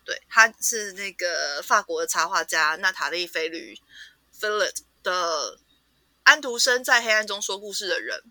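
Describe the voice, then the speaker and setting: A woman with a Taiwanese Mandarin accent co-hosting a casual book chat, conversational and agreeing in quick repeated words. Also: lowish voice, animated, sharp tone, no room sound. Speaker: podcast co-host.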